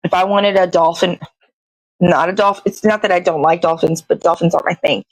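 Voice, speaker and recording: A woman's voice: on the low side, steady tone, fairly quick, dry recording, rough recording. A woman hosting a casual livestream, chatting in a meandering way, often searching for words and swearing casually. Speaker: live show host. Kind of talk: casual rambling livestream chat.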